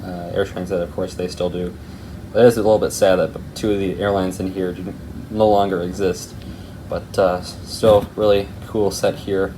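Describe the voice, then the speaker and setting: A man's voice: sits low, little pitch movement, normal speed, warm tone, a little hiss or hum, rough recording. A man giving casual, rambling hobby commentary as the host of an unboxing video. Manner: rambling, tangent-prone.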